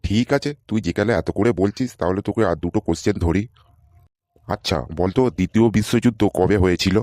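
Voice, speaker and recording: A man's voice: fairly low pitch, quick pace, a little mellow, no room sound, studio quality, lightly acted. A man voicing an irritable, sarcastic boss in a comedy cartoon, scolding and dismissive. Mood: stern, questioning. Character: irritable, sarcastic male boss interviewing job seekers.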